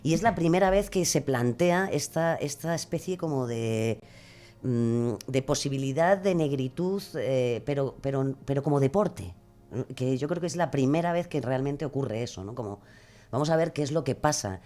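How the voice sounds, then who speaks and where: Low-voiced woman, musing hesitantly. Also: lively intonation, moderate pace, dark tone. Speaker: podcast guest. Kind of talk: conversational interview answers.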